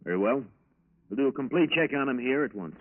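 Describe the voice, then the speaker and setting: Actor as quick-talking formal FBI bureau chief. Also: male voice, medium pitch, lively intonation, close to an everyday voice. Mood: brisk, official. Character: brisk, formal male Omaha bureau chief. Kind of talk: 1940s radio drama acting. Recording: muffled, very noisy old radio recording.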